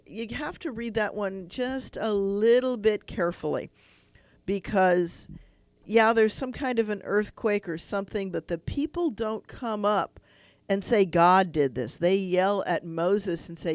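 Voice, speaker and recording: A man's voice: high voice, unhurried, smooth, no echo. A man hosting a radio call-in show as a rabbi, explaining at length and thinking aloud with restarts.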